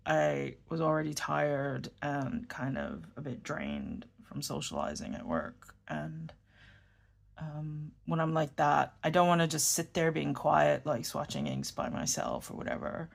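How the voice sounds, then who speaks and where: Woman, New York accent, low voice, unhurried, gravelly, rambling casual chat. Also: sing-song. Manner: rambling, self-correcting, murmurs while writing. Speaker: fountain pen vlogger. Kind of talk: casual chat while writing.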